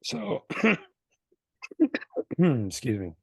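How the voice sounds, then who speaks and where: A man in his thirties from California, co-hosting a podcast and telling rambling, animated stories while losing his voice and clearing his throat. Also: mid-range voice, drawn-out words, no echo. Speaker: podcast co-host. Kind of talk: casual podcast chat.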